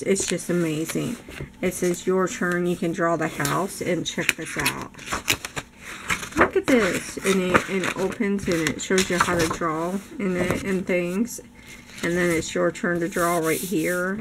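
Older woman, crafting vlogger, slightly low voice, hoarse from talking, noisy room recording. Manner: chatty, enthusiastic, frequent laughs. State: losing her voice. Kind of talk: casual haul show-and-tell. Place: craft room table, indoors. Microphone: camera above a craft table.

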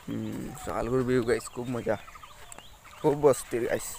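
A man's voice: mid-range voice, fairly even intonation, moderate pace, gravelly. A young man with a Sylheti accent chatting casually to viewers as he vlogs outdoors on a phone, often repeating his phrases. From Sylheti-speaking Karimganj area, India. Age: young adult. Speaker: vlogger. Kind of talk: casual chat to camera. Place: outdoors on village roads. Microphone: phone at arm's length. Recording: music under an outdoor phone recording.

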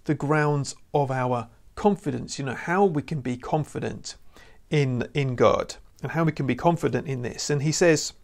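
A man's voice: mid-range voice, moderate pace, creaky, a little mellow. A man preaching a recorded sermon to camera in an earnest, conversational way, often saying "you know.". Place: indoor room with lamp and church banner. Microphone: lapel mic.

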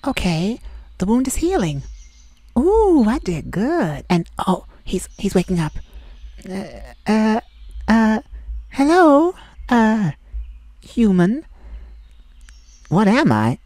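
A woman's voice: medium pitch, huge pitch swings, slow pace, long pauses, mellow, no room sound, heavily acted. A sassy male forest fae. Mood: sassy teasing. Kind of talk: ASMR roleplay.

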